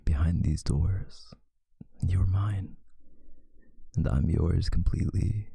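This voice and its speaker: A man voicing a possessive yet tender male vampire lover in an intimate, hushed ASMR roleplay, often slipping into a whisper. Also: no echo, studio quality, heavily acted. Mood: possessive, proud. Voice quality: very deep, breathy, gravelly, often whispering. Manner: slow, hushed, soothing, seductive.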